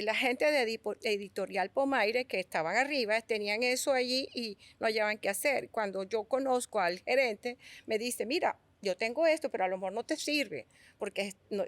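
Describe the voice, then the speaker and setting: Venezuelan woman, middle-aged, higher voice, dry close mic, animated storyteller with many restarts. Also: no echo. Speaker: guest sculptor. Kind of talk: conversational storytelling.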